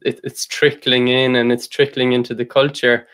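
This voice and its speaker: A man's voice: medium pitch, moderate pace, clean tone. Casual Irish man hosting a podcast. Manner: relaxed, rambling, with many "like" fillers. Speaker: podcast host. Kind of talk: casual conversation.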